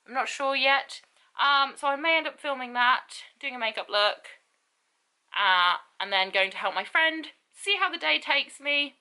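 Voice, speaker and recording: Give me a chatty young woman with a bright, gravelly voice. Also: sits high, expressive, a little slow. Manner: rambling, lots of ums and repeats. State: stuffy, sore throat, lethargic. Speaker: book vlogger. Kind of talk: casual vlog talk to camera.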